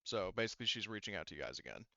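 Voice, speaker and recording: A man acting as game master, explaining a tabletop game casually in halting, rambling stretches over voice chat. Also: lowish voice, expressive, bright, forceful tone, no echo, dull audio.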